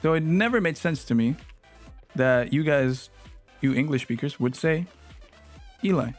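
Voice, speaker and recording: A young man whose first language is Spanish chats on a live stream, his voice pitched a little high and swinging widely as he jokes and teases his viewers. Soft music plays faintly behind him. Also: unhurried. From Latin America. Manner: animated, playful, teasing with chat. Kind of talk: casual live-stream chat.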